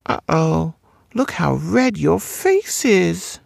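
A small, childlike girl creature from a dark storybook, acted out by a male narrator. The voice sits high and swoops around widely, with a creaky edge as it taunts, pleads and wails in fear. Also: very animated, slow, dry recording, exaggerated voice. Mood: taunting.

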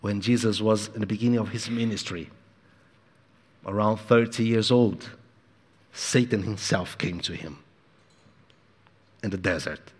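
A man's voice: measured pace, pauses often, husky. A man preaching a sermon in accented English, a non-native speaker who acts out voices dramatically. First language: not English. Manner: dramatic, acts out the devil's voice in low tones. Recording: long hall reverb.

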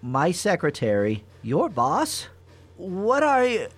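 A meek, flustered rabbit boss in a comic radio play played by a man, with pitch that leaps about wildly. He stammers and apologizes, nervous and befuddled. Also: wildly swooping pitch, slow pace, heavily acted. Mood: flustered eagerness.

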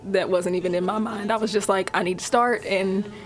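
Brief: twenty-something woman, college student, mid pitch, hesitant and thoughtful, faint music behind. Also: fairly even intonation, fairly quick. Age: 20s. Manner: hesitant, reflective, with fillers. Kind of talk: casual chat.

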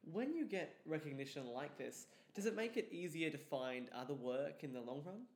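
A man's voice: fairly high pitch, lively intonation, moderate pace, darkish tone. A man hosting an interview, putting prepared questions politely and appreciatively, recorded in a roomy, echoey space. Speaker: interview host.

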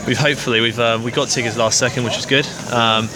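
A man chatting casually as a rugby fan in a street interview, rambling and halting. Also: mid-range voice, steady tone, noisy background. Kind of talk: casual vox pop chat. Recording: outdoor crowd hubbub.

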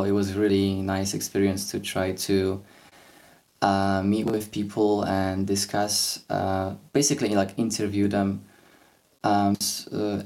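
Young man, very deep and unhurried, halting podcast talk. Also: darkish tone. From Poland. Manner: hesitant, many fillers and restarts. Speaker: podcast host. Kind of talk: casual podcast discussion.

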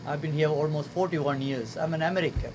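A man in his sixties from Sri Lanka talks in earnest, halting English, his voice sitting a little high. He speaks as a guest on a radio show. Air conditioning hums clearly in the background of the studio recording. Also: fairly even intonation, background noise. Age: 60s. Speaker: guest artist. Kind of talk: casual radio interview.

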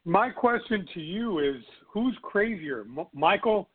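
A man giving fight picks in casual chat, rambling and excitable with stammered restarts, his voice going hoarse. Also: sits high, measured pace. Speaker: regular panelist. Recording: tinny phone-line audio.

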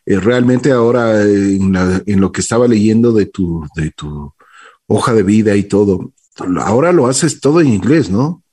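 A man's voice: on the low side, normal speed, a little mellow, husky. A man hosting an interview, interrupting with short reactions and follow-up questions. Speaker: interviewer host. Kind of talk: conversational interview.

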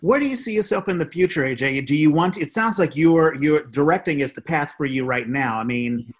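Fast talking guy hosting a podcast, voice a bit high, casual chatty. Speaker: podcast host. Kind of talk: casual interview chat.

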